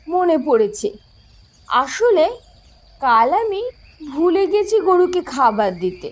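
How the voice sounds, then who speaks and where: A woman's voice: sits high, big pitch swings, takes it slowly, exaggerated voice. A woman voicing a naive, forgetful young village girl in a cartoon, speaking in a simple, hesitant, innocent way. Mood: sheepish. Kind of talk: cartoon voice acting.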